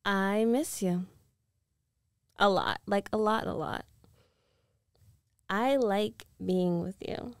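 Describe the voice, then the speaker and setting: A woman acts out a rich, domineering ex-girlfriend in a girlfriend ASMR roleplay. She speaks slowly and teasingly, sure of herself and possessive, in a very dry, close-sounding recording. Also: medium pitch, clear, resonant tone, no echo. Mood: insistent, possessive. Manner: slow, coaxing, self-assured, teasing.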